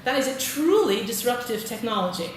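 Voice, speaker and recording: Woman from Britain, conference presenter, fairly high voice, echoey hall, distant mic, audible noise. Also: very animated, a little fast. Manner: friendly, polls the audience, frequent "okay". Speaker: conference presenter, teacher trainer.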